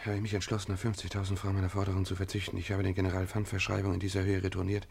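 An actor playing a lovesick, obsessive young gambler in a German radio drama, with urgent theatrical delivery. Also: male voice, low-pitched, monotone, rapid-fire, gravelly, a little mellow, slightly rough, heavily acted. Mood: reading gravely. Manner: theatrical, urgent, breathless whispers to outbursts. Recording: noisy vintage radio play recording.

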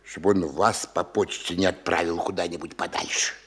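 An actor playing a sly, wheedling provincial official in a classic radio play, insinuating and coaxing. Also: male voice, sits low, fairly even intonation, normal speed, fairly bright tone, husky, full character voice. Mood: scheming. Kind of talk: classic stage-style radio acting.

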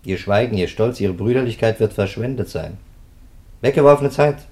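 Actor as low-voiced sardonic police investigator. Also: male voice, expressive, moderate pace, a little mellow, natural voice. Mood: determined reproach. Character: hard-nosed male police investigator, sardonic and determined. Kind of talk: 1950s German radio play acting.

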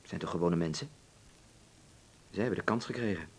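Bitter cynical guy in a war radio drama, deep voice, blunt and sardonic. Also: fairly quick, creaky, a little hiss or hum. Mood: accusing. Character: cynical, defiant man in a wartime family. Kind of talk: radio play acting.

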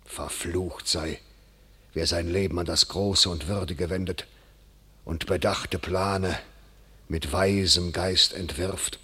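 Dying medieval general: low, forceful, husky, declamatory, bitter and proud, classical verse drama. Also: male voice, steady tone, takes it slowly, full character voice. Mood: bitter, raging. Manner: declamatory, weighty, solemn. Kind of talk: classical German verse stage acting.

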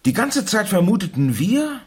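An actor playing a methodical, encouraging gentleman who explains a case in a radio crime drama, husky and emphatic. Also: high voice, very animated, moderate pace, heavily acted. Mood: encouraging, intent.

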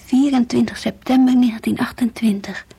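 Breathy, husky, earnest schoolgirl. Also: fairly high pitch, steady tone, normal speed, dark tone, exaggerated voice. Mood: hushed, awed. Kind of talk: radio drama acting with diary-like narration.

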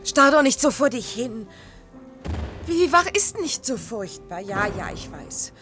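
An actress playing an intimate, insistent, sleepless wife in a German science-fiction radio play, full of emotion. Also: female voice, fairly high pitch, sing-song, measured pace. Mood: defensive, insistent. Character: pleading, euphoric wife who never sleeps. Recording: vintage radio play, loud music bed. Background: music.

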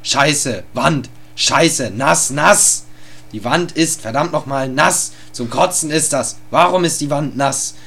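A man's voice: fairly high pitch, takes it slowly, creaky, sharp tone, husky, heavily acted. A man voicing a grumpy creature who curses angrily about his soaked wall. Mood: furious. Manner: ranting, swearing, exasperated.

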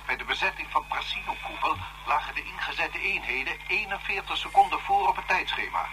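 A man's voice: on the high side, lively intonation, fairly quick, a crowd in the background, natural voice. An actor playing a formal, dutiful military staff officer reporting to his superior in a radio play. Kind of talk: Dutch science-fiction radio play acting.

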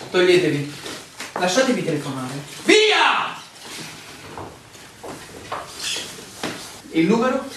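Sardonic, lively surveyor, echoey and distant. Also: male voice, fairly high pitch. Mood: exasperated. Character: exasperated male land surveyor, bossy, sardonic newcomer. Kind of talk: stage-like radio drama acting. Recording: echoey room, distant mic, background noise.

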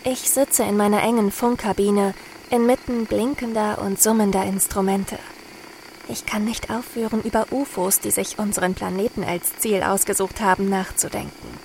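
A woman's voice: mid-range voice, fairly even intonation, moderate pace, a little mellow, lightly acted. An actress playing a pensive, curious female soldier who watches for UFOs in a radio drama monologue. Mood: pensive wonder. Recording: clear background noise.

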